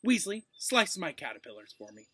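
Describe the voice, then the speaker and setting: A man's voice: high voice, sing-song, moderate pace, pushed and bright, a little hiss or hum, voice pushed up, exaggerated voice. A man voicing a smug, spoiled schoolboy bully with a drawling, mock-pitiful delivery in a storybook reading.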